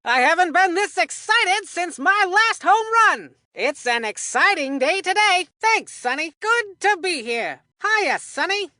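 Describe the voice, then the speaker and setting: High, bright, folksy kid ballplayer. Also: female voice, very animated, a little slow, hardly any pauses, no room sound, studio quality, exaggerated voice. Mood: cheerful greeting. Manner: folksy, old-fashioned exclamations, chatty and confident. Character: folksy, cheerful, superstitious boy baseball player. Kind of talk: cartoon video game voicelines, sports banter.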